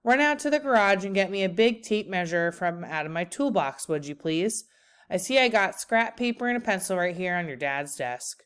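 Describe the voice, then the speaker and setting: A woman's voice: fairly low pitch, expressive, normal speed, fairly bright tone, clear, resonant tone. A woman voicing a kindly, handy old grandfather in a storybook, with a folksy, coaxing, easygoing manner. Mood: businesslike.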